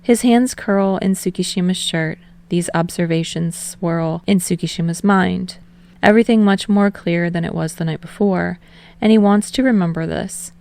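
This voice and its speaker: Casting: female audiobook narrator, slightly low register with plenty of vocal fry, reading prose fiction. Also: moderate pace, darkish tone.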